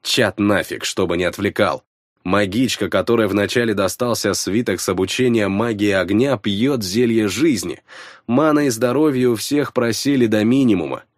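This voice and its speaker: A man narrating fiction in the first person, scripted and expressive, on a close studio mic. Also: lowish voice, normal speed, dry recording. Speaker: audiobook narrator. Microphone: close studio microphone.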